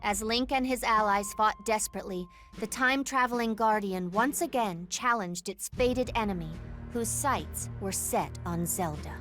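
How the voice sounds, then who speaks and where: A solemn chronicler of a fantasy game legend , voiced by a woman. She tells the tale in a measured, scripted manner at a medium pitch. Music is clearly heard behind her. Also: lightly acted. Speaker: video game narrator. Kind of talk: scripted dramatic storytelling narration.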